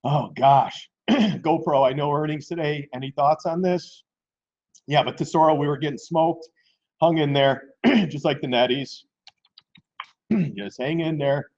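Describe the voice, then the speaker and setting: A man hosting a live stock trading stream in casual chat, hoarse and losing his voice, clearing his throat often. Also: on the high side, a little slow, pauses often, darkish tone. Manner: keeps clearing his throat, chatty and informal. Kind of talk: casual live market commentary. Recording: dull lo-fi stream audio.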